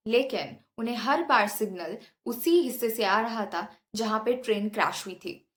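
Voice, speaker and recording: A woman hosting and narrating scripted paranormal stories in a dramatic, suspenseful way, mixing in English words. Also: on the high side. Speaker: video host and narrator.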